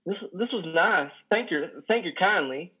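An actor in his thirties playing a lonely, awkward trucker in a play reading, halting, stammering and folksy. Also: high voice, expressive, bright, forceful tone, slightly rough, heavily acted. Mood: shy, rambling. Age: 30s. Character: lonely, awkward, self-deprecating trucker, plain-spoken and folksy. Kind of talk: play reading over video call. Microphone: headset on a video call. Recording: dull video-call audio.

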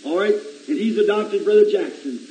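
A hoarse man with a cold, preaching earnestly to his congregation and calling on people by name. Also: high voice, moderate pace. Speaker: pastor. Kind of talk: informal sermon talk to a congregation. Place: church sanctuary. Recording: echoey church with loud congregation singing.

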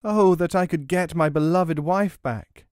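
A man's voice: fairly high pitch, a little slow, clear, resonant tone, no echo, studio quality, voice pushed up, lightly acted. Man doing a ranting, furious merchant. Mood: anguished. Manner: loud, ranting. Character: furious wronged male merchant.

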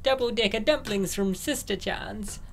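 Casting: actress as poised, wealthy young woman for comic dub, medium-pitched. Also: normal speed, a little hiss or hum, lightly acted. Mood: relaxed, indulgent. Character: rich, poised, unsuspecting young woman friend.

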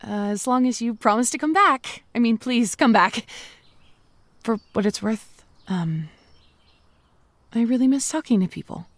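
A woman's voice: fairly high pitch, animated, a little slow, long pauses, no echo. A woman playing a sweet, lonely female zombie, chatting hesitantly and hopefully to the listener in an audio drama. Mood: lonely, awkward. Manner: hesitant, rambling, trailing off.

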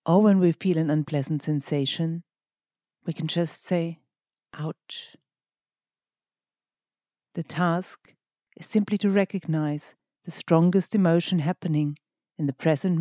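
A meditation guide with a mellow, relaxed voice leads the listener gently through each instruction. The delivery is measured, with deliberate pauses between short phrases. The recording is close and dry, slightly dull in tone. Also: medium pitch, no room sound.

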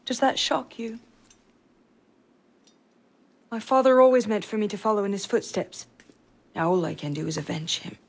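A woman's voice: medium pitch, animated, brisk, breathy edge. A woman playing a bitter, grieving young noblewoman in a game drama, speaking with cold, restrained reproach. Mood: bitter grief.

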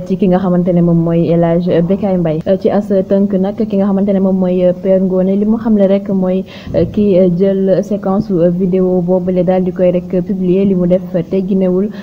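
A woman with a Senegalese accent presenting news narration, with a halting delivery and frequent hesitant pauses. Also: on the low side. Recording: dull lo-fi sound with clear noise.

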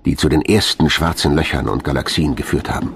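A man's voice: rumbling low, steady tone, fairly quick, a crowd in the background. A man reading scripted narration for a science documentary as a studio voice-over. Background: background music.

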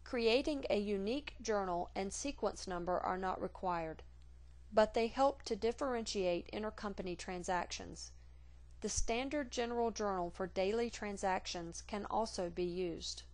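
A woman reading scripted instructional narration for a software tutorial in a measured, formal, neutral manner. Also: medium pitch.

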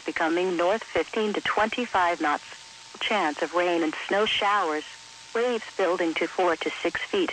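A woman's voice: lowish voice, fairly bright tone, low-quality recording. A woman's synthetic, evenly phrased voice announcing an automated marine forecast on weather radio. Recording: hissy weather radio broadcast.

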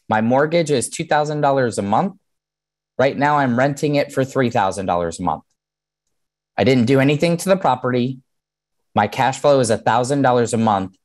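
A man in his thirties presenting an online seminar over a video call, explaining plainly in a casual way and often checking in with "right?". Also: mid-range voice. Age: 30s. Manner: conversational, explanatory, with frequent "um" and "right?". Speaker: mortgage lender teaching a webinar.

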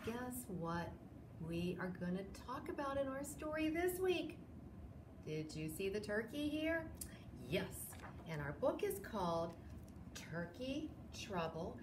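High, very expressive older woman reading aloud. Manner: expressive, with drawn-out dramatic phrases. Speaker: library storytime host. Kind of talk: children's picture-book read-aloud. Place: small indoor room, seated. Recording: roomy echo, clear background noise.

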